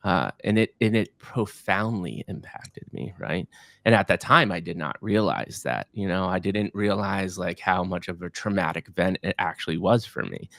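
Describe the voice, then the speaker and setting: Effusive male podcast host in his forties, low voice, dry close mic, quick to repeat himself. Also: gravelly, dry recording. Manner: energetic, effusive, repeats words when excited. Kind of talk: enthusiastic podcast interviewing.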